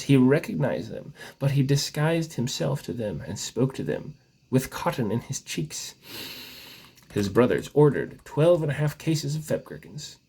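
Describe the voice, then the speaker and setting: A man with a husky voice reading a comic tale aloud in a mock-solemn, theatrical storytelling manner. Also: medium pitch, mellow. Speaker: story reader.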